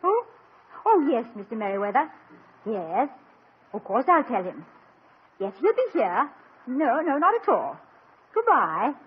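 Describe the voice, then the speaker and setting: An actress playing a gracious, witty college president's wife in poised, teasing 1950s radio comedy style. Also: female voice, on the high side, sing-song, measured pace, long pauses, slightly rough, heavily acted. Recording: dull lo-fi 1950 radio broadcast.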